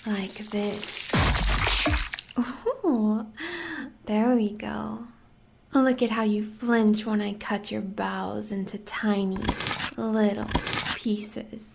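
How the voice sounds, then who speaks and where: A woman's voice: medium pitch, flat delivery, takes it slowly, creaky, a little mellow, a little hiss or hum. An American woman playing a sadistic, seductive huntress villain in an audio roleplay, taunting and savoring her words, often in a whisper. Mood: sadistic relish.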